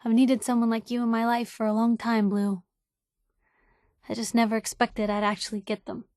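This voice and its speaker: A woman's voice: a little high, level tone, moderate pace, darkish tone, dry recording, clean studio sound, natural voice. An actress playing a spirited, nosy young woman reporter in a video game, loyal and outspoken, talking in a wry, colloquial way. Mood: heartfelt, wistful. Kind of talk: video game companion dialogue.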